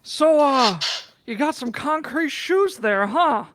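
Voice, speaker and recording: Woman playing a high-voiced, rambling dwarf king. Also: animated, slow pace, background noise. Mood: casual, bemused. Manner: rambling, casual slangy hesitations. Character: friendly rambling dwarf king, easygoing and offbeat. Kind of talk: improvised tabletop roleplay.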